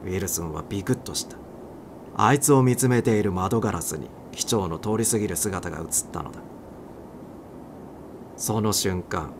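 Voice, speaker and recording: Low-voiced man narrating a dramatic story. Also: normal speed, long pauses. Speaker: narrator reading a short story aloud. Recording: clear background noise.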